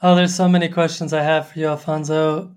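A host interviewing a guest, asking curious, measured questions in an upbeat way. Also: medium pitch, little pitch movement, darkish tone, clean tone. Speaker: podcast host. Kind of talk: interview hosting.

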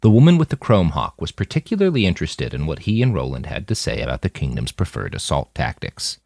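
Deep-voiced, highly animated male storyteller. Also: quick pace, vocal fry, no echo, studio quality. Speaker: fiction podcast narrator reading a novel. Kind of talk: expressive storytelling narration with character dialogue.

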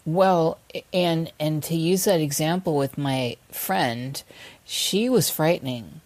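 Give me a woman guest with a very low voice, speaking thoughtfully, recorded dry. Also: slow pace, darkish tone, dry recording. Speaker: podcast guest. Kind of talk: casual interview chat.

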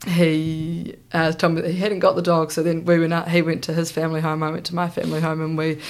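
A woman's voice: deep voice, flat delivery, fast. A young New Zealand woman with a New Zealand accent, a podcast guest chatting casually with lots of ums and restarts. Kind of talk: casual interview chat.

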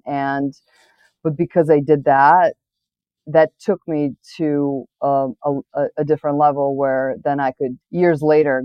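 A woman's voice: sits low, no echo, dull audio. Woman, from Bulgaria, Bulgarian-born translator, reflective, restarts often, dry sound. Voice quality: reflective, thoughtful, with frequent restarts. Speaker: interview guest, literary translator. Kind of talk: conversational interview.

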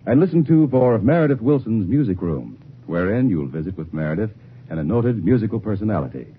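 A male network announcer reading scripted promotions in a lively, persuasive way. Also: on the low side, a little fast. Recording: tinny old radio broadcast with hiss.

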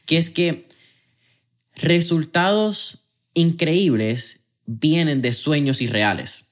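A man's voice: on the high side, slow pace. Conversational man hosting a podcast. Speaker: podcast host. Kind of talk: casual interview chat.

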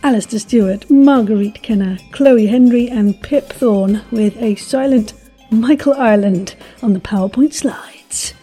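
A woman's voice: on the high side, unhurried, warm tone, faint music behind. A woman announcing panel guests in an upbeat introduction.

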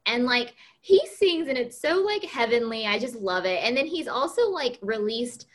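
Bubbly woman in her thirties, lively pitch. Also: normal speed. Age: 30s. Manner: bubbly, gushing, giggly. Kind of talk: casual chat.

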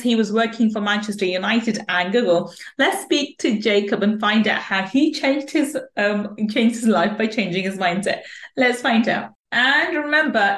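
A woman hosting an interview podcast in an effusive, emphatic way. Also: a little high. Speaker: podcast host.

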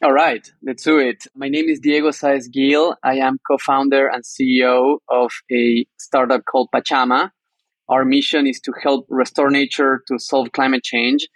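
Even-toned Argentine-accented man, dry sound. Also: medium pitch, moderate pace, no echo. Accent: Argentine Spanish. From northern Argentina. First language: Spanish. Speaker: startup co-founder, interview guest. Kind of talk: explanatory interview talk.

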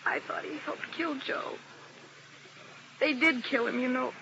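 A woman's voice: high voice, fairly even intonation, unhurried. An actress playing a grieving, vengeful young woman in a 1950s radio drama, speaking intensely and emotionally. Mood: anguished. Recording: dull, noisy old radio recording.

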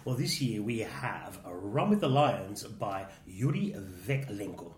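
Wry man with a lively voice, roomy echo. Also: fairly low pitch, unhurried. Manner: wry and teasing, reads out facts. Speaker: co-host. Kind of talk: casual chat reviewing song contest entries. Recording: roomy echo with faint noise.

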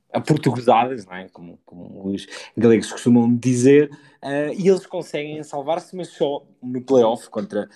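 Male podcast co-host, European Portuguese accent, mellow mid-range voice, relaxed timbre, hesitant conversational football talk. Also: mid-range voice.

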